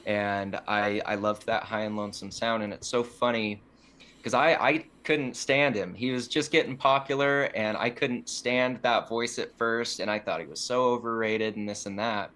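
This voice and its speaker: A man's voice: lowish voice, normal speed, pushed and bright. A young man, a musician guest on a podcast, telling stories in an easygoing, self-deprecating way. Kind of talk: casual interview chat.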